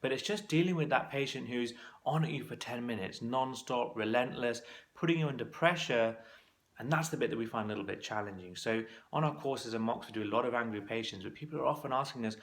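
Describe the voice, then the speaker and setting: A man's voice: medium pitch, fast, vocal fry, a bit bright. A man teaching as a clinical exam course tutor, giving practical coaching tips in a conversational instructional talk to camera.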